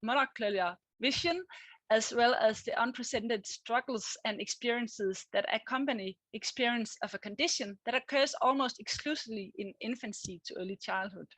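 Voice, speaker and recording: A woman's voice: on the high side, normal speed, fairly bright tone, no echo, poor audio. A woman with a Danish accent introducing a speaker as moderator, careful and reassuring. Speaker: session moderator. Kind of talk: introducing a speaker at an online conference. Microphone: video call.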